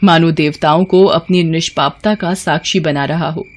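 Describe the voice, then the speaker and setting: A man's voice: fairly high pitch, fairly even intonation, normal speed. A man narrating a scripted story as a storyteller.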